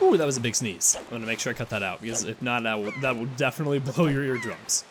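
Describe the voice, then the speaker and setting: A tired man with a slightly raspy voice and occasional cracks, chatting casually as he comments on a video game he plays. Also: mid-range voice, background noise. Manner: rambling, chatty, quick asides and chuckles. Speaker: let's play host. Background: game music.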